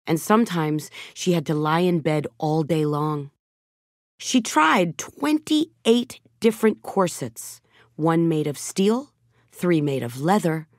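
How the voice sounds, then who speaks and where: A middle-aged woman narrating a children's storybook in a gentle, measured way, softening her voice at tender moments. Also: lowish voice, no echo, clean studio sound. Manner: gentle, measured storytelling with soft dips in volume. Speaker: audiobook narrator. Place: recording studio. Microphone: close studio microphone. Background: background music.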